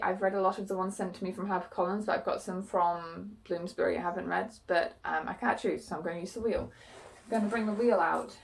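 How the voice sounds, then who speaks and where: A young woman, chatting frankly to camera in a vlog with lots of fillers, her voice a bit croaky from being unwell. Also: medium pitch, a little hiss or hum. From New Zealand. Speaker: book vlogger. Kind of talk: casual chat to camera.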